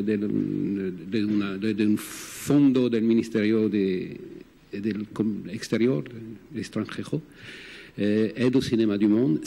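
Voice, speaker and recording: A man whose first language is French speaks halting Spanish at a press conference, stopping often to hunt for the right word. His voice sits low and mellow. The accent is plainly French. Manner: halting, searching for words. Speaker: film producer.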